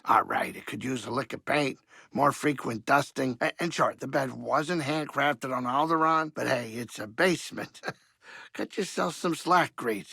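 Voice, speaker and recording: A blustery older alien barkeep from a sci-fi video game. The voice sits high for a male and swings widely, with a gravelly creak underneath. He grumbles and wisecracks in a folksy way, soft-hearted beneath the bluster. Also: no room sound, clean studio sound, full character voice. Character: grumbling, warm-hearted older alien pilot and saloon keeper. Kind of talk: expressive video game character acting.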